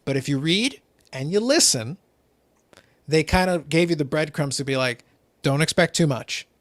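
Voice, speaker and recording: A man hosting a casual hobby talk show, explaining in an animated way, often repeating a phrase as he starts. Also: a little high, normal speed, no room sound. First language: not English. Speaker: podcast host.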